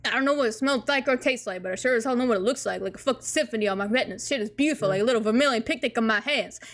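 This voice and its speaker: Hoarse woman doing a deadpan cool teen boy. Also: a little high, close to an everyday voice. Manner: rapid, rambling deadpan monologue.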